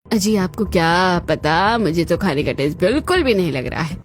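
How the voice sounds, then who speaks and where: A woman's voice: fairly low pitch, lively intonation, moderate pace, lightly acted. Grumbling older mother-in-law, acted by a woman, music behind. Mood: disdainful. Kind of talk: Hindi cartoon family drama acting.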